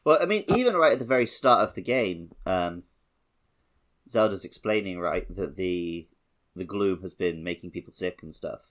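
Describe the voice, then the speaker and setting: A man co-hosting a gaming podcast, explaining things in a relaxed conversational style. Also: low voice, normal speed. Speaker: podcast co-host. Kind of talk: casual chat about video games.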